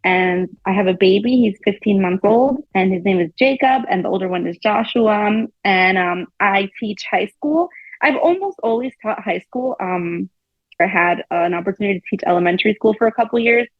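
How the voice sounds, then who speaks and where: A woman's voice: mid-range voice, normal speed, no room sound. A woman in her thirties, a guest teacher, talking casually and laughing often on a podcast. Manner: chatty, laughs often, says "like" a lot. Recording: dull lo-fi sound.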